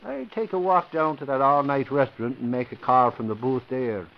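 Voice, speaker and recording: An actor playing a blustery, excitable middle-aged male reporter in a vintage radio drama. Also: medium pitch, normal speed, vocal fry, close to an everyday voice. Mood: exasperated. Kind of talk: 1940s radio acting. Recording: muffled vintage radio recording with faint hiss.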